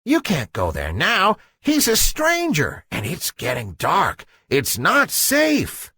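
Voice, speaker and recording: Panicky young flounder pal, played by a man, high, blunt, wildly animated, children's storybook. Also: slow, slightly rough, dry recording, heavily acted. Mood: urgent warning. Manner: exclaiming, warning. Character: alarmed, blunt young male flounder fish friend.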